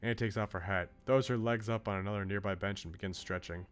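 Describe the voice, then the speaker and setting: A man's voice: lowish voice, steady tone, quick pace, smooth. A man streaming a visual novel, reading aloud expressively and adding chatty asides. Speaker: game streamer. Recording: game music behind the voice. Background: video game music.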